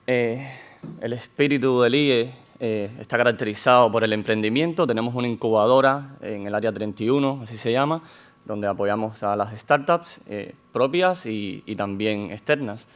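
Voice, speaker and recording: A man with a foreign accent giving a measured technical talk at a conference, pausing often with fillers and restarting his sentences. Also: mid-range voice. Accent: non-Spanish accent in Spanish. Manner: measured, with frequent hesitations and restarts. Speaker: conference speaker. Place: conference hall.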